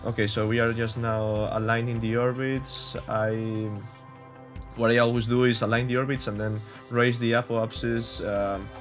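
A man's voice: medium pitch, level tone, moderate pace, soft background music. A young man from Spain with a Spanish accent, giving casual, hesitant commentary over gameplay with many fillers and restarts. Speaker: gaming YouTuber.

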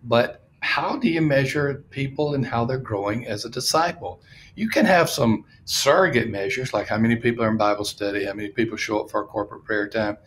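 A man's voice: medium pitch, fairly quick, fairly bright tone, slight background noise. A man in his sixties co-hosting a podcast, teaching in a conversational way. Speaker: podcast co-host. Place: small room, remote video call.

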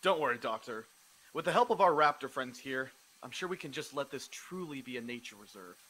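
A man's voice: mid-range voice, lively intonation, a little fast, pushed and bright, low-quality recording. A man acting a helpful, optimistic ally in an audio drama. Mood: reassuring.

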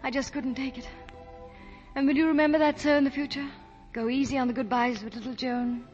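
A woman's voice: sits high, fairly even intonation, brisk, darkish tone, close to an everyday voice. An actress playing a devoted, romantic and brave young woman in a 1940s radio drama. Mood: playful. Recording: muffled 1940 radio broadcast with music.

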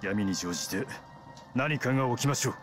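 An actor voicing a stern, stoic knight commander in a video game, formal and commanding. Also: male voice, medium pitch, expressive, brisk, a crowd in the background, close to an everyday voice. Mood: grave, urgent. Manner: commanding, formal military speech. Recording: voice under loud game music.

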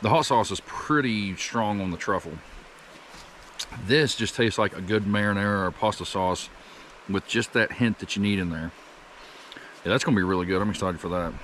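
A man in his thirties talking to the camera while cooking outdoors at a wooded campsite, rambling and easygoing as he thinks out loud. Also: fairly low pitch, slight background noise. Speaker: camping cook vlogger.

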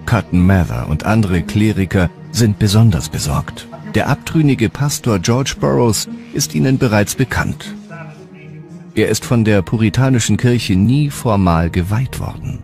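A male narrator with a low, deep voice reads a historical documentary script. Music plays clearly behind him. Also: animated, measured pace.

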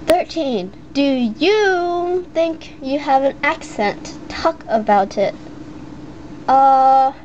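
A woman's voice: fairly high pitch, a little mellow, lo-fi sound, slight background noise. A teenage girl at home answering voice meme questions, hesitant and giggly, stumbling over words and full of fillers as she reads a passage aloud. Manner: hesitant, many fillers and restarts. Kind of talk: casual chat and halting reading aloud.

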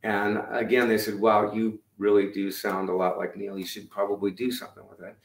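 A middle-aged man with a low voice, chatting loosely about his music over a video call, with many fillers and restarts. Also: little pitch movement, brisk, vocal fry. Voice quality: lower-range voice. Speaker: musician guest. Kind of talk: casual interview chat. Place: home room indoors. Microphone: video call webcam. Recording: echoey room on webcam.

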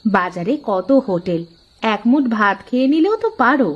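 A woman voicing a practical village housewife in a cartoon, colloquial and gently bossy. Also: mid-range voice, animated, normal speed, highs cut off, close to an everyday voice. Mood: advising. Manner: colloquial, instructing, matter-of-fact. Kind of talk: cartoon character dialogue.

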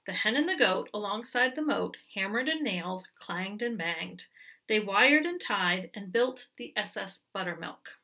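Lively, bright-voiced woman reading a picture book. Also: medium pitch, a little slow, recorded from a distance. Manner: expressive, rhythmic storytelling. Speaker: teacher reading aloud. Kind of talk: children's picture book read-aloud.

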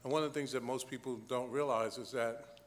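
A man, a university president, giving a brief halting address to a church congregation. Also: medium pitch, steady tone. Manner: halting, with many "uh" fillers. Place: large church sanctuary. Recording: reverberant church hall.

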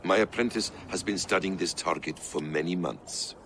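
An actor playing a shrewd, calm middle-aged assassin mentor from abroad in a game drama, knowing and deliberate. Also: male voice, low voice, a little hiss or hum. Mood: assured. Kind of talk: cinematic video game drama.

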